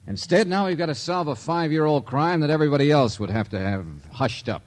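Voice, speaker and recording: An actor playing a brisk, probing, wry private investigator in 1940s radio drama style. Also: male voice, a little high, animated, a little mellow, natural voice. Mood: realizing, wry. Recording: old radio drama recording.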